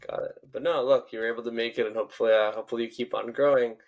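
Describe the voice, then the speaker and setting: A man's voice: medium pitch, steady tone, very fast, muffled recording. A man hosting a casual podcast interview, upbeat and affirming toward his guest. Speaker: podcast host.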